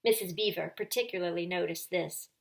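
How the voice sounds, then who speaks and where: A woman reading a children's story aloud as storyteller, with expressive narration and deliberate, dramatic phrasing. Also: on the low side, creaky.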